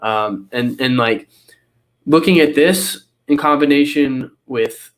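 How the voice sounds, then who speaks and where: A man's voice: mid-range voice, slow pace. Nasal young man with a cold. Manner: rambling with many fillers and restarts. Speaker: guest analyst. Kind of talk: casual explanatory interview.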